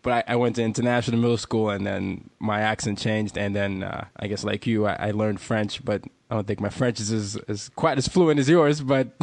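A man's voice: on the low side, steady tone, moderate pace, creaky, no echo. A man from Nigeria interviewing a guest in a casual, friendly way, laughing often and restarting his sentences.

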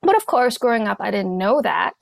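A woman from Hong Kong whose first language is Cantonese, a therapist guest telling her story in a thoughtful, measured way. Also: mid-range voice, very animated, creaky, no echo. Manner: thoughtful, measured, speaks in lists. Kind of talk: reflective personal storytelling.

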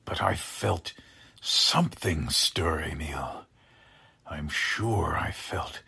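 A deranged scientist in a radio drama, obsessive and sinister. The voice is low and very raspy, breaking into fry. He gives commands slowly and intensely, as if every word matters. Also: bright, forceful tone, exaggerated voice. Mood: anxious, disappointed. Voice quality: husky, gravelly. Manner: slow, intense, breathy whispering and urgent commands.

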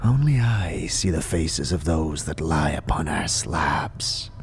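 Slow, wheezing, weary old scribe. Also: male voice, extremely low pitch, animated, slight background noise, full character voice. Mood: solemn, protective. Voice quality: breathy, wheezing, rattling with whispered trailing phrases. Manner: slow, solemn, archaic formal speech. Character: frail, weary, ancient undead-order scribe, solemn and contemptuous. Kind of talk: fantasy audio drama acting. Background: music behind the voice.

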